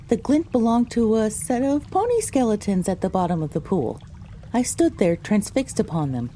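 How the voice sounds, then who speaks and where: A woman's voice: mid-range voice, warm tone, a little hiss or hum, close to an everyday voice. A woman narrating an audio drama in the first person as a frightened young female pony recounting her misadventure.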